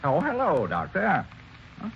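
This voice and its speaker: An actor playing a stern, dismissive older millionaire in a 1940s radio drama, curt and haughty, on a dull old broadcast recording. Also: male voice, a little high, wildly swooping pitch, measured pace, gravelly, slight background noise, full character voice. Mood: cold. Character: stern wealthy older male employer, cold and dismissive.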